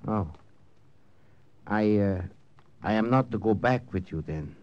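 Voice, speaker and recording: Casting: wartime German villain, low voice, formal measured speech, German accent, sly and composed. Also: male voice, animated, muffled recording. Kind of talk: 1950s radio drama acting.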